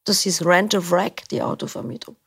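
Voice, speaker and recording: A middle-aged woman being interviewed about narrating an audiobook, talking in a relaxed, rambling way. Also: fairly low pitch, moderate pace, vocal fry, slightly rough. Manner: relaxed, rambling, laughs easily. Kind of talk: casual interview chat.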